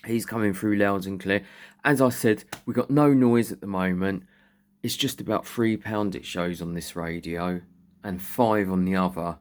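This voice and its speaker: A man's voice: lowish voice, moderate pace. A man chats informally, thinking aloud as he shows and explains radio gear like a hobbyist presenting a video. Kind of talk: casual chat, showing and explaining radio gear. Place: indoors at home beside radio equipment. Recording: very noisy room, loud radio static. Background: loud CB radio noise.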